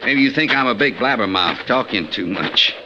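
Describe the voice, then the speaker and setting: Male actor as boastful frontier robber for a radio western: lowish, hard-edged voice, blunt and menacing, lo-fi vintage sound. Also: animated, moderate pace, lightly acted. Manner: talkative, folksy, blunt, menacing. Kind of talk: old-time radio western acting. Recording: lo-fi 1950s radio recording, faint noise.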